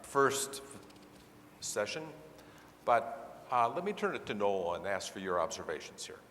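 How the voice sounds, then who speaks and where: Man, conference presenter, lowish pitch, measured explanatory delivery, long hall reverb. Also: very animated, fairly bright tone, a little hiss or hum. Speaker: session moderator and presenter. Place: large indoor conference hall. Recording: echoey conference hall sound system.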